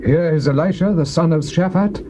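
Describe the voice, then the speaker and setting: A man's voice: a little high, brisk, noisy background, lightly acted. A man playing a helpful royal servant. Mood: informative.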